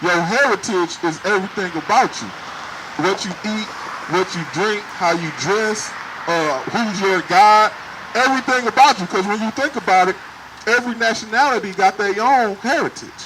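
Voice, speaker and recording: Male street preacher, emphatic and confrontational, raised high voice, recorded on a noisy city street. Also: normal speed. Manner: loud, emphatic, questions passersby.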